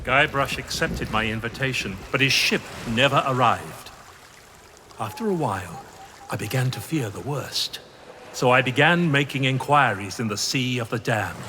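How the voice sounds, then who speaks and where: A man playing a solemn, mysterious pirate guide who tells a tale gravely in a video game cutscene. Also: mid-range voice, animated, sharp tone, a little hiss or hum. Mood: grave, foreboding. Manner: measured, grave, portentous. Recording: storm and sea ambience behind.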